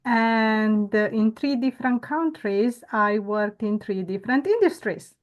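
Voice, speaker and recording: A woman's voice: fairly high pitch, expressive. Earnest woman. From Romania. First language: Romanian. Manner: earnest, reflective, with frequent "uh" and "um" pauses. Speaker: guest. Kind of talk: conversational interview storytelling.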